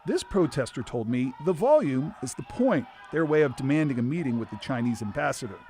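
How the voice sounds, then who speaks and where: Animated American male news reporter. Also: medium pitch, a little fast. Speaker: investigative reporter. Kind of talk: scripted news narration.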